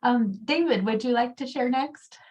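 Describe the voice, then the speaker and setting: A woman's voice: a little high, normal speed, darkish tone. A woman informally facilitating an online meeting, heard through a distant, dull microphone.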